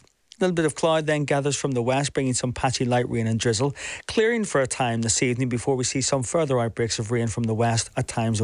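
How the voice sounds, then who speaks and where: A man's voice: mid-range voice, dry recording. A man presenting a weather forecast.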